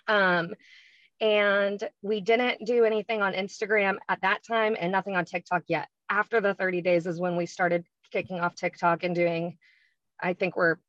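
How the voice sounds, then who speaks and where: A woman talks through her experience in a relaxed, conversational way and fills her pauses with ums. The sound is dry and a bit dull, like a lo-fi recording. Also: lowish voice, dry recording. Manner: chatty, frequent ums, explains step by step. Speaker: podcast guest. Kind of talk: casual interview talk.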